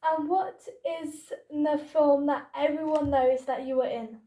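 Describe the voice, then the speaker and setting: A woman's voice: sits high, steady tone, a little slow, mellow, echoey room. A young woman interviewing, asking short questions and giving brief encouraging replies.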